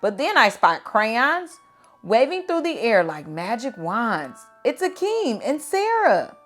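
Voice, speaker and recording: A woman reading children's picture books aloud in an expressive, dramatic and deliberate storytelling style. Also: a little high, faint music behind.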